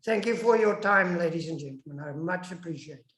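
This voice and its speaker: A woman's voice: lowish voice, a little fast, a bit bright. A South African woman whose first language is Afrikaans, giving an emphatic webinar lecture over an online call as a guest academic. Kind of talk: webinar lecture with audience questions. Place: indoors, online video call. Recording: echoey room on online call.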